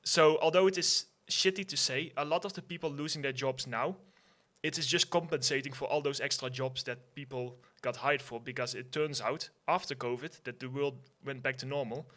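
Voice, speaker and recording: A man's voice: medium pitch, moderate pace, a bit bright. A man speaking frankly on a livestream panel, blunt about his industry and steering the talk to the next guest.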